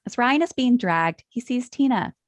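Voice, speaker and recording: A woman's voice: fairly high pitch, expressive, moderate pace, no echo. A woman reading scripted stage directions as narrator at a table read.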